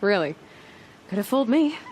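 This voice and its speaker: An actress playing a defiant, wry young outcast huntress in a video game, speaking in a dry, sardonic way. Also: female voice, mid-range voice, very animated, moderate pace, darkish tone, a little hiss or hum, natural voice. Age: young adult. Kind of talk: video game dialogue acting.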